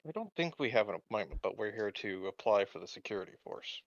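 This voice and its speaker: A man voicing an easygoing newcomer applying for colony security in a tabletop game, plain and a little halting. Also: on the low side, expressive, dry recording, dull audio. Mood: matter-of-fact. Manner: plain, matter-of-fact, halting.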